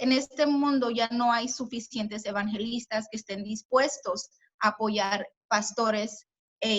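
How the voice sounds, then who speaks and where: A woman in her forties speaks in a slightly high voice, warm and talkative, with an easy laugh. Her voice comes through a muffled, lo-fi video call. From Mexico. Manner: warm, chatty, laughs easily. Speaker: invited speaker. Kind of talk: casual virtual interview. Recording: dull lo-fi video call audio.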